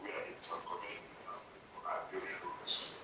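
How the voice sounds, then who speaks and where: A middle-aged man, a senior government official, offering measured advice and encouragement, often trailing off quietly. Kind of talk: encouraging remarks on a video call. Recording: very echoey, distant, noticeable noise.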